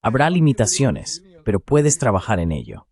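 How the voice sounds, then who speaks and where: A man giving a Spanish voice-over of interview answers, explaining political analysis in a deliberate way with short emphatic phrases. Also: on the low side, huge pitch swings, gravelly, crowd noise.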